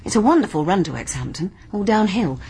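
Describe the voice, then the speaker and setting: A woman's voice: mid-range voice, very animated, a little fast, warm tone. An actress playing a keen, clever young woman sleuth with an English accent in a radio mystery drama. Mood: eager, explaining. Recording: faint background noise.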